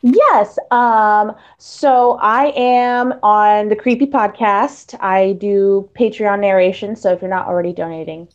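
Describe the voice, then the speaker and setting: A woman's voice: medium pitch, a little slow, hardly any pauses. A woman voice actress talking casually about her work in a podcast panel chat. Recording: slightly dull lo-fi call audio.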